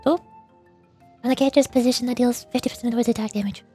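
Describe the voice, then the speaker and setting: A woman hosting a casual gaming livestream, chatting in an animated, playfully whiny way. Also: a little high, fast talker, husky, faint music behind. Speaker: VTuber livestream host.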